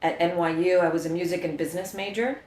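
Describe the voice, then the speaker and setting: A middle-aged woman from New York tells her story with energy, slipping into other people's voices and snatches of song. Her voice sits low for a woman. The room around her has a noticeable echo. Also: fast talker. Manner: lively storyteller, acts out quotes and bursts into song. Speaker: guest. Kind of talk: casual interview chat.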